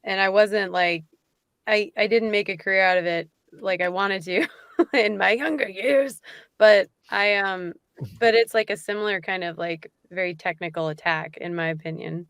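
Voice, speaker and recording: A woman's voice: medium pitch, moderate pace, fairly bright tone, smooth, dry recording. A woman chatting casually as a panel guest, informal and acting out little bits of imagined dialogue.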